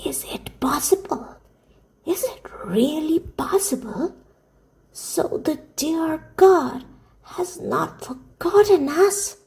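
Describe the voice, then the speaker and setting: A woman voicing a frail, pious old grandmother with a trembling, breathy voice and slow, drawn-out exclamations, in a storybook reading. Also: high-pitched, animated, darkish tone, pitched above the performer's own voice, exaggerated voice. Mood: amazed, grateful.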